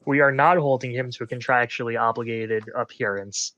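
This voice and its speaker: Wordy man, bright voice, slightly dull audio. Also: medium pitch, steady tone, a little fast. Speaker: podcast co-host. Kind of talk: casual TV recap podcast chat.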